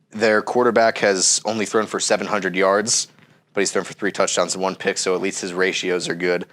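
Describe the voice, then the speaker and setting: A young man co-hosting a casual sports chat, sounding stuffy from a cold as he works through stats with frequent ums. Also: low voice. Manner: steady, methodical, frequent ums. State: stuffy, under the weather. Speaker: co-host.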